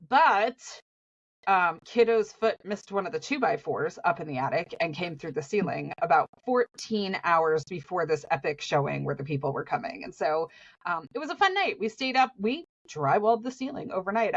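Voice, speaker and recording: Sniffly Southern woman in her forties, chatty podcast co-host with a rambling, laugh-filled delivery and a slightly dull sound. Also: on the low side. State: sniffly from allergies. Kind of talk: casual podcast chat.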